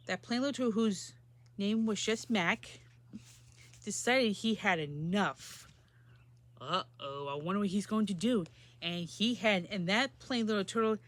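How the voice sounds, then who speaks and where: A woman's voice: medium pitch, fairly bright tone. A woman storyteller reading a children's storybook aloud and adding simple asides about the pictures.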